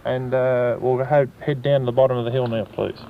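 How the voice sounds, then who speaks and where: Male official, firm and clipped, mid pitch, narrow tinny archival sound. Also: level tone, a little fast. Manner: curt, firm. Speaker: official clearing a protest area. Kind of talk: giving orders in the field. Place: outdoors. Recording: tinny, noisy archival field recording.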